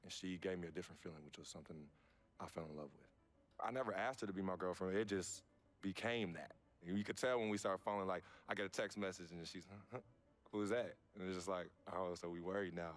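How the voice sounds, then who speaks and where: A man's voice: sits low, fast talker, natural voice. An American man playing a laid-back, self-assured young basketball star in a video game cutscene. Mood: reflective, candid. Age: young adult. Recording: music behind the voice.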